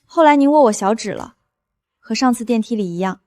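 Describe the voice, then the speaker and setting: Actress as smitten young office woman in a drama, high voice, earnest, kinda flustered, gently probing. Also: expressive, brisk, no echo, lightly acted. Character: smitten, flustered young female law-firm worker. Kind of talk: acted drama dialogue and inner monologue.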